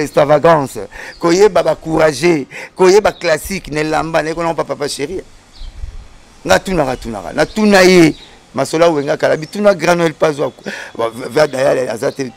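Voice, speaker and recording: A man's voice: fairly high pitch, gravelly. A man telling long, digressive stories as a studio guest, often repeating words and restarting. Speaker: guest storyteller. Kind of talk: animated storytelling chat.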